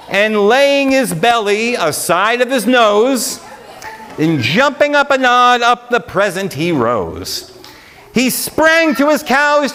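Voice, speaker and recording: A man doing a live storytime read-aloud of comic rhyming verse, with an animated, theatrical delivery. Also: very high-pitched. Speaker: librarian storyteller.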